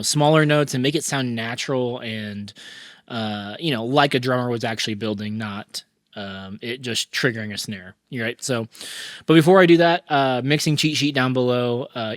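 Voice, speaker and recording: A man hosting a casual music-mixing tutorial, hoarse from a cold, talking in a chatty way with lots of fillers and restarts. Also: mid-range voice, fairly bright tone, no room sound, studio quality. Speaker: mixing engineer tutorial host. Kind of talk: casual screen-recorded tutorial.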